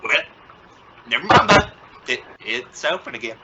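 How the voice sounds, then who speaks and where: A man's voice: mid-range voice, very animated, moderate pace, lightly acted. A man playing an exasperated, sarcastic middle-aged father in an old-time radio sitcom, flustered and bluffing confidence. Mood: insistent, irritated. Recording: dull, noisy lo-fi radio play recording.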